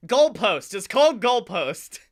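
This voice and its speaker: An American man co-hosting a comedy podcast, telling stories casually in an animated, sweary way with chuckles in his speech. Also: high-pitched, measured pace. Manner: animated, swearing, often chuckling while talking. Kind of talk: casual comic storytelling.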